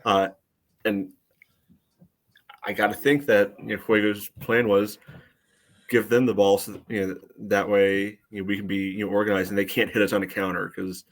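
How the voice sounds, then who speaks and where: A man's voice: fairly low pitch, little pitch movement, moderate pace. A man co-hosting a soccer fan podcast, giving casual analysis in a hedging way padded with "you know". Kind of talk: casual sports analysis chat.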